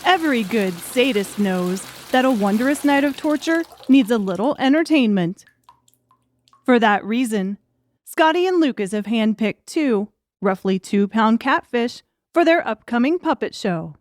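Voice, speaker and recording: Higher-pitched woman narrating an audiobook. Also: animated, measured pace, no room sound, clean studio sound. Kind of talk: scripted narration.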